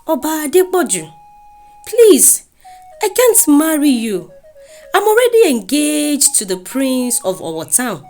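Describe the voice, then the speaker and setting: A woman's voice: sits high, huge pitch swings, takes it slowly, warm tone, soft background music, voice pushed up, exaggerated voice. A woman voicing a pleading young woman in a folktale, with a Nigerian accent. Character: beautiful young engaged woman pleading against forced marriage. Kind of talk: animated folktale storytelling.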